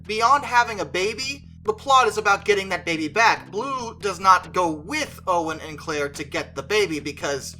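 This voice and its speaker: Video commentator with a bright, forceful voice at middling pitch, conversational and opinionated, leaning on key words, music underneath. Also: expressive, moderate pace, hardly any pauses. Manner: emphatic, conversational, stretches stressed words. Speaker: video creator and host. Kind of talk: opinionated movie review commentary.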